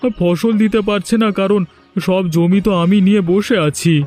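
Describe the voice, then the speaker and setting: A man's voice: high voice, fairly even intonation, a little slow, a little mellow. A man voicing a greedy, spiteful village schemer in a cartoon, gleeful and mocking, with music behind him. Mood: gloating, gleeful. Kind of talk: exaggerated cartoon villain dialogue.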